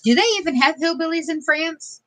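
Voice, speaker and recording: A woman's voice: high-pitched, fairly even intonation, fairly quick, fairly bright tone, no echo. Middle-aged lady from detroit, chatty and laughy, crafting while she tells stories, dry close sound. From Detroit, USA. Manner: chatty, laughing, self-mocking humor. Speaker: craft vlogger. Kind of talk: casual storytelling while crafting.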